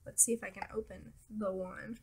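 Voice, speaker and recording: A young woman talking casually about beauty products as a vlogger, relaxed and hesitant with many fillers, her nose a little stuffy. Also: mid-range voice, normal speed, creaky, a little hiss or hum. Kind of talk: casual product talk to camera.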